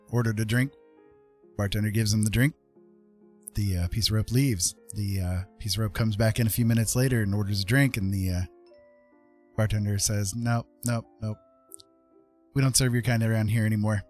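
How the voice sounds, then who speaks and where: A man's voice: on the low side, steady tone, normal speed, long pauses. A man hosting a casual live stream, telling jokes and chatting playfully with viewers. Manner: playful, jokey, conversational. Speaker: live stream host and digital artist. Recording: music behind the voice. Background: background music.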